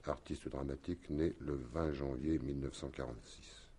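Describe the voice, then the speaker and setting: A man's voice: a bass voice, fairly even intonation, moderate pace, mellow, a little hiss or hum. A man playing a courteous, methodical, slightly weary police commissioner in a radio crime drama, murmuring and repeating short phrases. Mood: somber. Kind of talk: 1950s-style French radio crime drama acting.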